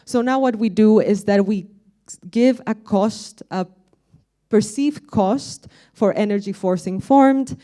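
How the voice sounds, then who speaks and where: A woman's voice: medium pitch, slow, mellow. A young woman from Spain with a Spanish accent, giving a friendly, explanatory conference presentation with frequent fillers. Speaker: conference speaker. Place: conference room with audience.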